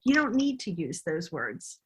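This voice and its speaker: A woman's voice: fairly low pitch, sing-song, a little slow, slightly rough. A middle-aged woman talking conversationally as a guest in an interview. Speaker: podcast guest, novelist.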